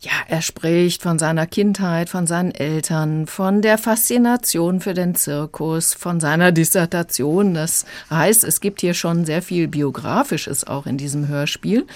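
Low-voiced woman reviewing books. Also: unhurried, hardly any pauses, a little mellow, dry recording. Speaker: radio book critic. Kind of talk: explanatory review in studio conversation.

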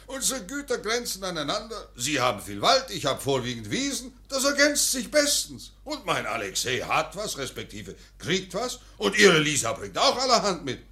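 A man's voice: high voice, wildly swooping pitch, moderate pace, few pauses, pushed and bright, exaggerated voice. An actor playing a domineering, hot-tempered old Russian landowner and father in a German radio play. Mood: self-assured. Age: older.

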